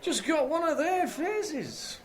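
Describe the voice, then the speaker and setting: A man's voice: very high voice, very animated, takes it slowly, slight background noise, exaggerated voice. An actor with a Lancashire accent playing a jovial, one-armed older northern man who trades dry, folksy banter.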